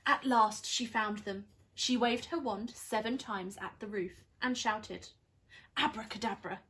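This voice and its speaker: A woman reading a children's story aloud like a primary school teacher, with expressive, dramatised narration. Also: mid-range voice, a little slow.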